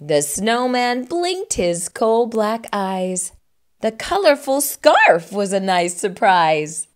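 A middle-aged woman hosting storytime, reading a children's picture book aloud with expressive narration. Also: mid-range voice, takes it slowly. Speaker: storytime host.

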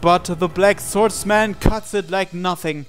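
A man reading a visual novel aloud as a dramatic narration, with deliberate pauses. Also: sits high, fairly even intonation. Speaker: gaming channel host. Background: game music.

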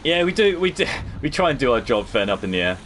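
A man's voice: mid-range voice, big pitch swings, quick pace, fairly bright tone. A young man chatting casually while streaming a shooter game and answering his chat. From United Kingdom. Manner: chatty, laid-back, reads and answers chat. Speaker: gaming livestreamer. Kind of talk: casual live commentary over gameplay.

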